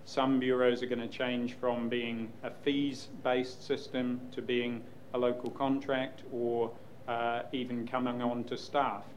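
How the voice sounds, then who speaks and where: Measured man fielding press questions. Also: medium pitch, level tone, slight background noise, poor audio. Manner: measured, hesitant with many ums. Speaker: news executive answering questions. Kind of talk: Q&A panel talk.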